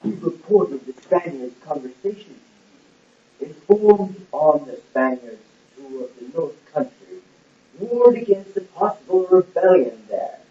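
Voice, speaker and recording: A man playing a smooth, cold, scheming royal secretary in an amateur puppet-show play, speaking in a measured, formal way. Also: on the high side, expressive. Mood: insistent, measured. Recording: roomy, muffled, distant lo-fi recording.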